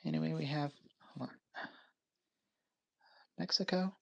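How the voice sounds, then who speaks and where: A woman from Canada on a casual live stream, naming items one at a time in short, unhurried phrases. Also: low-pitched, fairly even intonation, creaky, lo-fi sound.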